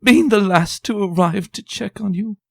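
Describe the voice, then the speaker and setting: A man's voice: high voice, normal speed, creaky, dry recording, studio quality, pitched above the performer's own voice. A man voicing a fussy, theatrical young woman unicorn who speaks in a dramatic, refined, gushing way.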